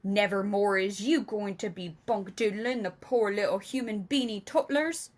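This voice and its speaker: A woman voicing an earnest, excitable male giant in a children's storybook, with emphatic, folksy, muddled speech. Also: medium pitch, normal speed, lightly acted. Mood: gloating. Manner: emphatic, folksy mangled grammar. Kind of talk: children's storybook character reading.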